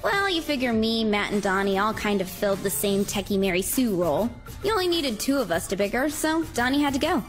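A woman's voice: on the high side, sing-song, a little fast, faint music behind. A woman playing a dry, smug computer hacker in a mock video game interview, matter-of-fact and sarcastic.

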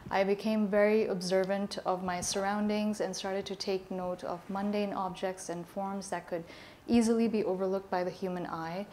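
A woman from Pakistan giving a measured, explanatory presentation of her artwork at a gallery talk. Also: medium pitch, darkish tone, a little hiss or hum. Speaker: artist presenting her work. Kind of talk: prepared presentation. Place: gallery talk space, indoors.

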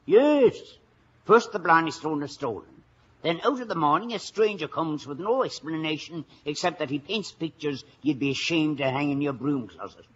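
An actor playing a vain, blustery old Irish village police sergeant in a radio comedy. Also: male voice, a little high, expressive, normal speed, slight background noise, lightly acted. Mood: scheming. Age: older. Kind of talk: 1950s radio comedy acting. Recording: muffled vintage radio broadcast.

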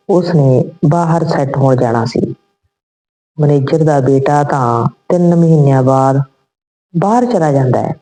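A woman reading a scripted moral story as narrator, in measured phrases. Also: low voice, mellow, rough recording.

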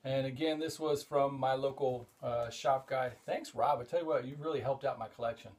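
A man's voice: medium pitch, moderate pace, a little mellow. Chatty middle-aged man showing off collectibles. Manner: rambling and enthusiastic, frequent "uh" fillers. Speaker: comic collector and show host. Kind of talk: casual show-and-tell vlog. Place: indoor hobby room full of collectibles.

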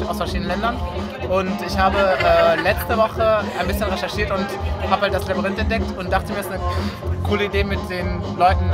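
High-voiced man in a loud club. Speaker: German language teacher. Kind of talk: casual on-the-spot interview. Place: dark indoor club venue. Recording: loud club music and crowd chatter.

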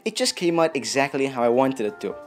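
A man's voice: medium pitch, sing-song, a little fast, soft background music. A young man presenting a casual tutorial in a jokey, self-deprecating, chatty way. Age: young adult. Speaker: host of a video effects tutorial channel. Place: small dim room indoors.

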